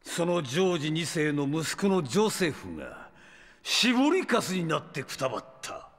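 A man's voice: a little high, big pitch swings, moderate pace, creaky, a bit bright, full character voice. An actor playing an arrogant, megalomaniac immortal vampire villain in an anime audio drama, theatrical and sneering, drawing out his words. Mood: cold, triumphant. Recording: long reverb.

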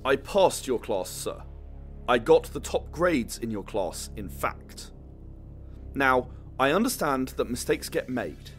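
Cocky young science student man, slightly low voice, very expressive, scornful, audio drama. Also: slow, faint music behind. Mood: controlled indignation. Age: young adult. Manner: scornful, insistent. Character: arrogant, callous young male science student with a temper. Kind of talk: full-cast sci-fi audio drama.

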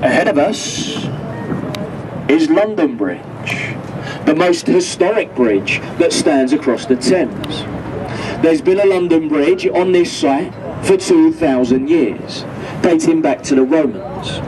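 A man's voice: on the high side, lively intonation, slow. Chatty man on a boat PA. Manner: chatty, jokey tour patter. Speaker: boat crew commentator. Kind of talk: tour commentary over a microphone. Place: open deck of a river boat, outdoors. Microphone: handheld microphone over a boat speaker, filmed from a distance. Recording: distant PA voice with boat noise.

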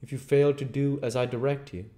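A man's voice: medium pitch, unhurried, a little mellow, pitched above the performer's own voice, close to an everyday voice. A man voicing a desperate, domineering invisible man in a storybook, commanding, insistent and well-spoken. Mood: menacing.

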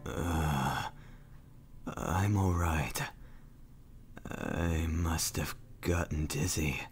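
A man voicing a weary, secretive, obsessed monster scientist in a comic dub, hushed and brooding. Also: rumbling low, extremely slow, breathy edge, exaggerated voice. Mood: weary, evasive.

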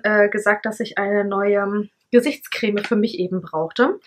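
A woman's voice: medium pitch, fairly bright tone, a little hiss or hum. A German woman in her thirties, a little stuffy-nosed, chatting casually in a home vlog as she shows products one by one. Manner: chatty, showing products one by one, lots of fillers. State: slightly stuffy nose. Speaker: vlogger. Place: living room at home. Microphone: camera on tripod at close range.

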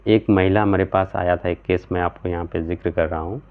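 A man's voice: deep voice, fairly even intonation, brisk. A man in his thirties explaining homeopathic remedies to camera in an earnest, explanatory way, often checking in with his listeners. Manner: earnest, explanatory, gesturing with both hands, frequent "theek hai" check-ins. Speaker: homeopathic practitioner. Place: small room at home, indoors. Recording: tinny, phone-like sound.

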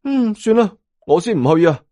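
A man with a Hong Kong Cantonese accent voicing a brash, excitable, mouthy young sidekick in an audio story. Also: sits high, normal speed, dry recording, studio quality, pitched above the performer's own voice. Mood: scornful.

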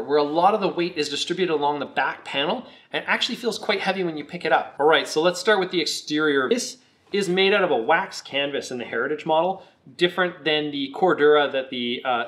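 A man with a slightly croaky voice, recovering from a cold, giving a relaxed, chatty product review on his video channel. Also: fairly high pitch. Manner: relaxed, chatty, with some fillers.

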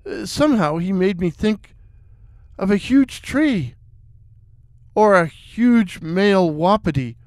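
A man's voice: sits high, animated, takes it slowly, long pauses, a little mellow, no room sound. A man narrating a first-person horror story in a suspenseful way, repeating words for effect.

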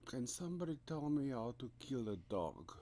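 A South African man with an Afrikaans accent, a composer walking through music software in a rambling, hesitant way with lots of fillers and restarts. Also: mid-range voice, big pitch swings, moderate pace. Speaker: composer giving a software tutorial. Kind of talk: casual screen-recorded software walkthrough. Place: home studio room.

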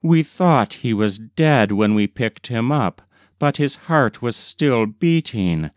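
A man reading a literary short story aloud as scripted narration, in a measured, deliberate storytelling manner. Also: medium pitch, expressive, no echo, clean studio sound. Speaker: audiobook reader.

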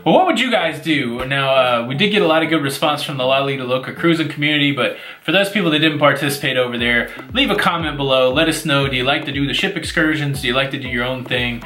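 A man's voice: medium pitch, fast talker, hardly any pauses, sharp tone, echoey room. A man in his forties co-hosting a casual vlog, rambling and self-deprecating with lots of filler words, seated beside his co-host. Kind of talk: casual chat. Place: indoors, seated before a virtual backdrop.

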